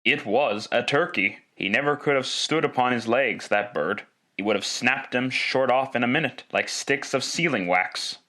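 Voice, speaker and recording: A man's voice: mid-range voice, normal speed, bright, forceful tone, close to an everyday voice. A man narrating a Christmas tale in classic radio style, wry and theatrical, savouring each list and repetition. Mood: amused.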